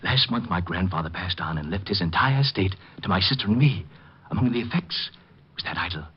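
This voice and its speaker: Scared paranoid man, very husky, stammering and pleading, muffled 1940s radio drama. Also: deep voice, expressive, brisk, heavily acted. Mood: ominous, intense. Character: frightened, paranoid, frantic young heir. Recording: dull vintage radio recording.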